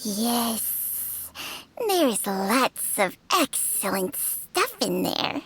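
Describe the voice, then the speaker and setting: A woman voicing a sly, languid companion creature in a fantasy game, with a raspy, creaky drawl. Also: on the high side, sing-song, extremely slow, fairly bright tone, heavily acted. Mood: sly, teasing. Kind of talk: scripted game voice acting. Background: background music.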